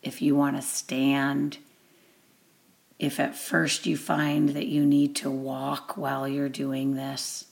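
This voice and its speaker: A woman giving a guided meditation introduction as an instructor, with deliberate, measured phrasing and long pauses. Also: rumbling low, monotone, creaky, a little mellow.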